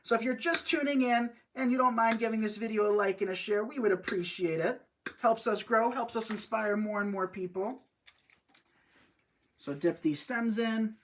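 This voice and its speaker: Chatty breathy man hosting a craft live. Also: sits high, fairly bright tone. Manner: chatty, upbeat, addresses viewers often. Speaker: host, wreath designer.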